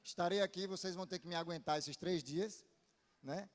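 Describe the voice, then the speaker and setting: A man preaches in a northeastern Brazilian accent, with a voice a little higher than usual for a man. He speaks to the congregation informally, as a pastor, often tagging his statements with small questions. The sound carries the long reverb of a big hall. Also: brisk. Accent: northeastern Brazilian, from Paraíba. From Paraíba, northeastern Brazil. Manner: informal, often seeks response with short tag questions. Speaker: visiting Baptist pastor preaching. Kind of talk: sermon to a congregation. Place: church pulpit, amplified in a large hall.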